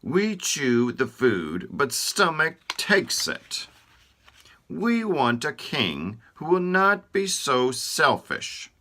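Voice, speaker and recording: A man putting on peevish, hungry body parts from a children's storybook, whining loudly in a high voice. Their complaints come out pitched well above a man's low speaking voice, with an animated, sing-song rise and fall. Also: drawn-out words, heavily acted. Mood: whiny. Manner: whiny, raised voice, louder than the narration.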